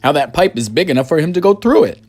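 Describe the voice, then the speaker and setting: A woman's voice: low-pitched, big pitch swings, quick pace, a little mellow, soft background music, pitched above the performer's own voice. A woman voicing a practical, unhelpful father in a matter-of-fact manner for a storybook.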